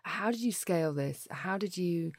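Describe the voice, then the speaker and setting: A woman's voice: lowish voice, lively intonation, normal speed, no echo, clean studio sound. A woman hosting a podcast, asking her guest inquisitive, open questions. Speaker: podcast host, interviewer. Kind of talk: interview questions.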